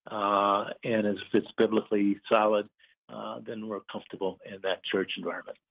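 Low-voiced man on a phone line. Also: level tone, vocal fry. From Puerto Rico. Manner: hesitant, many pauses and fillers. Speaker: guest, business owner. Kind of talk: casual interview chat.

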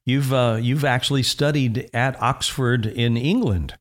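A man hosting an interview in a casual, conversational way. His voice has a middle pitch, and he affirms the guest's answers before gently asking follow-up questions. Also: fairly even intonation, measured pace.

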